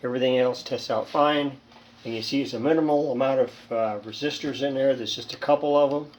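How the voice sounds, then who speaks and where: A man with a middle-pitched voice talks casually through a hands-on repair. He rambles and halts, thinking aloud as he shows his work. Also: fairly even intonation, brisk, a little hiss or hum, low-quality recording. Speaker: hobbyist radio repairer, presenter. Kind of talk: casual hands-on demonstration talk.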